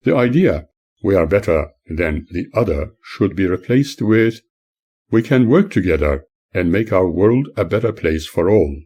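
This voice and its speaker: A man reading scripted audiobook-style narration in a measured, earnest, oratorical way. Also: low-pitched, expressive, a little mellow, slightly rough. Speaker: author reading own book.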